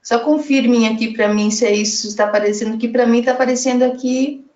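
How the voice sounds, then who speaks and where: A woman's voice: on the high side, steady tone, fairly quick, darkish tone. A woman from Brazil moderating a live online panel, chatting and reading audience comments aloud over a video call. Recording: echoey, muffled web call audio.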